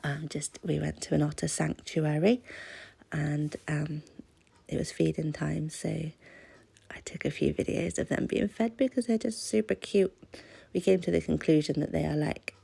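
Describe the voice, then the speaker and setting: A woman's voice: sits low, steady tone, normal speed, gravelly, dark tone, breathy edge. A British woman with a stuffy-nosed voice from a cold, chatting casually and self-deprecatingly in a craft voice-over. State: has a cold. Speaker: craft channel host.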